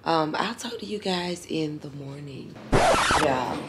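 A woman's voice: deep voice, slow pace, very noisy. An older woman, worn out and chatting casually to her camera in a hotel room. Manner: chatty, says y'all a lot. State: tired. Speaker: vlogger. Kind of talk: casual vlog chat to camera.

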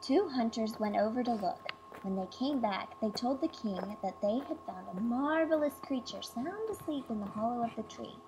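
Higher-voiced woman reading a fairy tale, noisy recording. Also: animated, moderate pace, hardly any pauses. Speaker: storybook reader. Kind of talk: read-aloud fairy tale narration.

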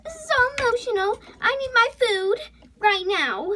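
A woman's voice: sits high, lively intonation, a little slow, pushed and bright, a little hiss or hum, exaggerated voice. A woman voicing a bossy, melodramatic, easily upset female horse in a whiny, theatrical way for an animal role-play drama. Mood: whiny, emotional.

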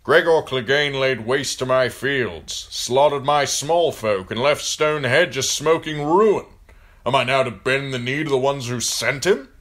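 Fantasy audiobook role: man acts vengeful nobleman, indignant, forceful, raised voice. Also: mid-range voice, a little slow, voice pushed up. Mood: bitter outrage. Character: outraged river lord, bitter and vengeful. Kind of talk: audiobook fantasy character acting.

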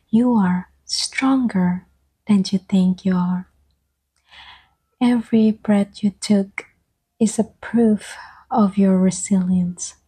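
A soft-spoken woman gently guiding an ASMR meditation, breathy and often slipping toward a whisper, with soothing, unhurried phrasing. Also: mid-range voice. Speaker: ASMR guide and host.

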